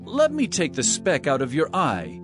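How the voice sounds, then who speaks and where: A man playing an earnest, solemn male teacher with authority in a dramatized scripture reading, speaking in a measured, emphatic way. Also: a little high, huge pitch swings. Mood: admonishing. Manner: measured, emphatic, rhetorical questioning. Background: music.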